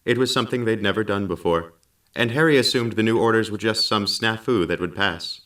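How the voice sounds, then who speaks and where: Deep-voiced patient male narrator, slightly distant recording. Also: brisk. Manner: calm, patient explaining. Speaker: storyteller narrator.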